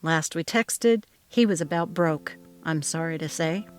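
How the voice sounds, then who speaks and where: A woman's voice: low voice, unhurried, faint music behind. A woman voicing an earnest, responsible young adult son in a dramatized story, sober and restrained in manner. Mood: matter-of-fact.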